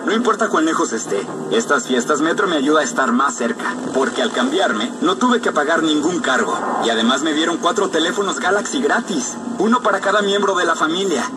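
A man's voice: on the high side, animated, a little fast, far from the mic, noisy background, natural voice. An actor playing a hard-working family man who drives trucks, in a testimonial radio ad. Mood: grateful, upbeat.

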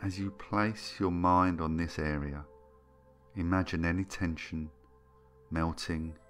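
A man narrating a guided meditation in a soothing, gentle and unhurried manner, leaving long pauses between phrases. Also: deep voice, fairly even intonation. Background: background music.

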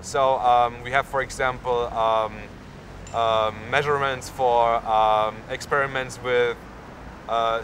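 A man's voice: medium pitch, a bit bright. Young German-accented man in a street interview. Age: young adult. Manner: lots of fillers, gestures while talking. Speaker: university student. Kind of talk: unscripted interview answers. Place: outdoors on a busy town square. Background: faint street and traffic noise.

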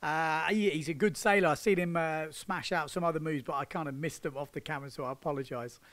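A man's voice: a little high, fairly even intonation, fast, fairly bright tone. A man, chatting casually as a windsurfing vlog host, laughing and using slang. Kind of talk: casual chat with commentary over surf footage. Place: indoors, sitting on a sofa. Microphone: handheld microphone.

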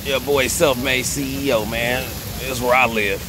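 A man's voice: mid-range voice, fairly even intonation, unhurried, a crowd in the background, poor audio. A man in his forties vlogs by phone at arm's length from an outdoor pool and jacuzzi at night, talking in a laid-back, slangy way and repeating his catchphrases. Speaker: vlogger. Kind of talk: casual vlog chat. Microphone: phone held at arm's length.

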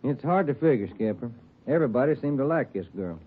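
A laconic detective partner with a husky voice, speaking in short, easy lines. It comes through thin and phone-like, as in an old radio broadcast. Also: male voice, mid-range voice, animated, quick pace. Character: easygoing male detective partner. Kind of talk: 1940s radio drama acting. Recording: tinny 1949 radio broadcast.

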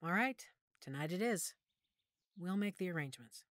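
A woman playing a motherly, firm female werewolf pack leader in an audio drama, measured and authoritative. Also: lowish voice, expressive, a bit bright, no echo, studio quality. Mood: warm, resolved.

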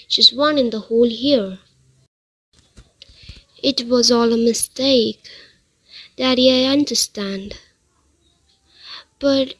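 Young woman acting slow-spoken, high-voiced kind daughter. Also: a bit bright. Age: young adult. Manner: pleading, polite, earnest. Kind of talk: amateur student radio drama acting.

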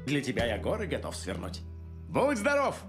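An actor dubbing a vain, charming skeleton star singer who is a ruthless villain, in a smooth, grand, self-assured manner. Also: male voice, mid-range voice, wildly swooping pitch, moderate pace, over music. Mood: grandiose. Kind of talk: dubbed animated film acting. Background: film score.

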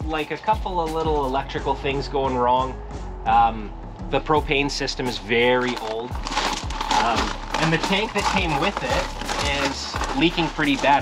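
A young man speaks outdoors in a gravel yard. He is laid-back and matter-of-fact, with "um" and "uh". Music plays clearly underneath. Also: medium pitch, measured pace. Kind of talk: casual chat.

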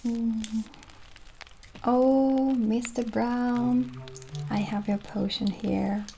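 A woman plays a witch shopkeeper in a gentle ASMR roleplay. Her voice is fairly high yet soft and mellow, with a creaky edge, often thinning almost to a whisper. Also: steady tone, slight background noise. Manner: gentle, unhurried, often slipping into breathy near-whisper. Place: small dim indoor room set as a candlelit shop. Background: original music.

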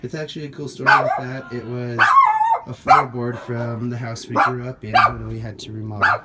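A man's voice: mid-range voice, steady tone, normal speed, over a noisy crowd. A man giving a casual, unscripted garden-tour narration as he walks outdoors, recorded on a handheld phone. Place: outdoors in a residential front yard, walking. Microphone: handheld phone held by the speaker. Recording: noisy outdoor phone recording, dogs around.

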